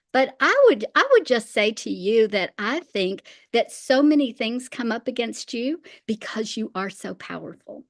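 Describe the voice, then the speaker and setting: High-voiced woman, emphatic faith teacher. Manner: emphatic, repeats phrases for weight. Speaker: interview guest, faith teacher.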